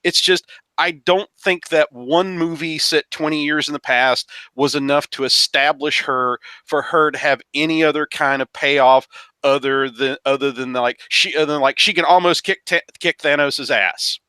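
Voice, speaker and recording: A man's voice: fairly high pitch, normal speed, pushed and bright, clear, resonant tone, dry recording. A man giving his take as a panelist in a casual movie discussion, hedging and stammering into his points. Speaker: podcast panelist. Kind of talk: casual chat, movie review.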